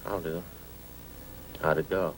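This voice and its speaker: A man playing a gentle, friendly wounded policeman in hospital in an old radio drama, speaking in a subdued, halting way. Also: rumbling low, little pitch movement. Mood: weary. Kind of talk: 1950s radio drama acting. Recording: noisy old radio recording.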